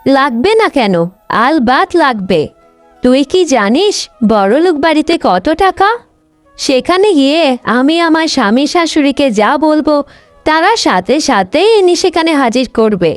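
A woman's voice: high voice, animated, moderate pace, dry recording. A woman voicing a selfish, scornful young elder sister in a cartoon story, snappy and disdainful. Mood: gloating, scornful. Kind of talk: Bengali cartoon story dubbing. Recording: dry, music bed under the voice.

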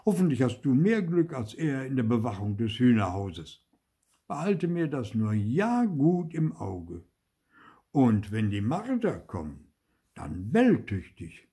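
A stern, taunting farmer from a children's storybook, played by a man. The voice is husky and mellow with big swings in pitch, gruff yet full of mocking politeness. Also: very animated, unhurried. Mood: mock-polite ordering. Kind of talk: dramatised children's storybook reading.

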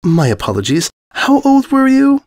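Refined female pony for a story, high and cuttingly polite; male reader puts her on. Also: wildly swooping pitch, moderate pace, a little mellow, dry recording, studio quality, heavily acted. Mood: feigned politeness. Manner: prim, elaborate, dryly sarcastic diction.

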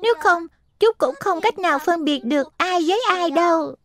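Children's cartoon kid: very high, kind, sensible, gently advising. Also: female voice, moderate pace. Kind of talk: dubbed children's cartoon acting. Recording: music behind the voice.

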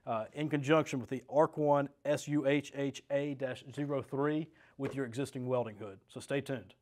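A man's voice: mid-range voice, steady tone, normal speed, darkish tone, a little hiss or hum. A middle-aged American man presenting a step-by-step product demonstration as a sales rep, in a plain-spoken, practical way. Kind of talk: step-by-step instructional product demo. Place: small indoor studio set with desk and shelves.

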